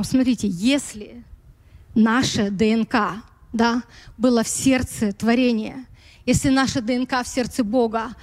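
A woman preaching a sermon in Russian, speaking slowly and pausing to search for words, her Russian touched by long years in America. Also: on the high side, big-room sound. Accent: American-influenced Russian. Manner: slow, searching for words, with light laughs.

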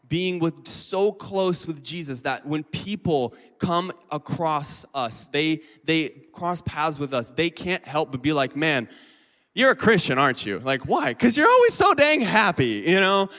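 A man's voice: fairly high pitch, a bit bright. Young man preaching casually in a reverberant hall. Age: 20s. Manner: casual, chatty preaching with many fillers. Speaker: lay preacher. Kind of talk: sermon. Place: church hall.

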